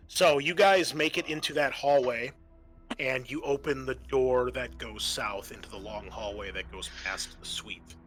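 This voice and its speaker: A man working as game master of a tabletop roleplaying game, narrating in a conversational, halting way. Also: lowish voice, fairly bright tone.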